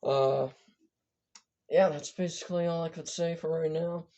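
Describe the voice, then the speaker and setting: Hoarse, gravelly amateur weather forecaster. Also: mid-range voice, steady tone, a little slow, warm tone. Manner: rambling, stumbling, frequent self-corrections. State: hoarse, losing voice. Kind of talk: casual online weather briefing. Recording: dull lo-fi recording.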